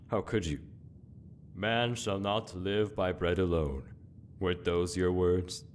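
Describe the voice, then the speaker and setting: A stern, commanding inquisitor in a fantasy game. The voice is low and the delivery solemn and contemptuous, full of accusing questions. A faint rumble sits under the recording. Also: male voice. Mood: mocking, solemn. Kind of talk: dramatic fantasy game monologue.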